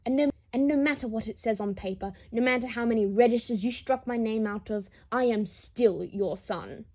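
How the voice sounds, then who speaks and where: Defiant adult son, performed by a woman, hurt and protective, impassioned, higher-pitched yet mellow, for dramatic audio fiction. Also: normal speed. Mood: hurt, furious. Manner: impassioned, confrontational, swearing. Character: wounded, defiant grown son of an aristocrat, fiercely protective.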